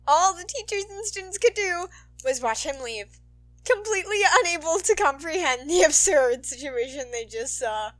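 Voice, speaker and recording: A young woman reading a scripted story aloud as a podfic narrator, playful and expressive. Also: high-pitched, moderate pace, dry recording. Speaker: podfic reader.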